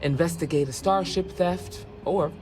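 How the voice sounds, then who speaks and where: An actor playing a steady, plain-spoken, folksy ranger lawman in a video game, speaking in an earnest, measured way. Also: on the high side, music in the background, lightly acted. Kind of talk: video game dialogue acting.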